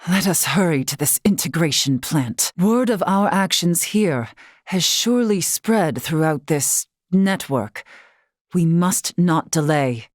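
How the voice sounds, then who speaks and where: A solemn warrior noblewoman from a fantasy game, pitched low and recorded very dry. She speaks formally and with measure, in courtly, old-fashioned phrasing, dutiful and composed. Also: animated, no room sound. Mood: grave, resolute. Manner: formal, measured, archaic diction. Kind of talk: scripted video game character dialogue.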